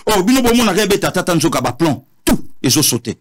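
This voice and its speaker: Congolese male political talk guest, raised pitch, emphatic and repetitive. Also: sing-song, quick pace. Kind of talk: animated political discussion, switching between languages.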